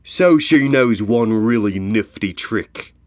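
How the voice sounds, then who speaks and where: A man voicing a gruff, sarcastic male pony worker in an audio story, scornful and grumbling. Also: fairly low pitch, expressive, takes it slowly, darkish tone, dry recording. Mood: grudging. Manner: barking, sarcastic.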